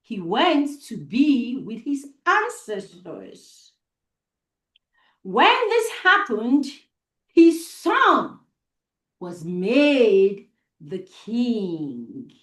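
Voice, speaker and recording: A woman with a Nigerian accent telling a story live to children, deliberate and expressive, with dramatic pauses and repetition, as host. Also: on the high side. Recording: roomy, echoey sound.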